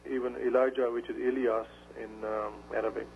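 A man answers interview questions in a gravelly voice, pausing often and restarting his phrases. He comes through a dull, muffled radio broadcast with a faint hum underneath. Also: medium pitch, flat delivery. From Pashtun area of Pakistan. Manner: halting, many fillers and restarts. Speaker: guest.

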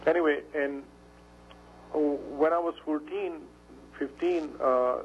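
A man, a guest in a radio interview, talking in halting phrases with many fillers and restarts. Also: fairly high pitch. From Pashtun area of Pakistan. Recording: dull radio broadcast with faint hum.

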